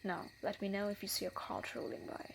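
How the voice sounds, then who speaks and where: A woman's voice: mid-range voice, level tone, fairly quick, vocal fry, noisy background, natural voice. A woman playing a wily, menacing thief in a scripted fantasy audio roleplay, with a theatrical delivery. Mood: hushed, plotting.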